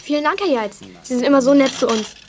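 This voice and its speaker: A high-voiced, teasing schoolgirl rattles along quickly. She sounds bright and lively, acting in a 1980s children's radio play with faint noise behind. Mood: polite, pleased.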